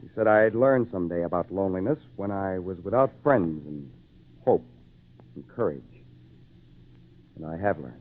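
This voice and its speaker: An actor playing a gallant, resolute castaway in an old radio drama, earnest and declamatory. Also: male voice, low voice, animated, normal speed, long pauses. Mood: tender, reflective. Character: resolute long-marooned castaway, gallant and commanding. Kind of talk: 1940s radio drama acting. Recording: tinny phone-like old radio recording.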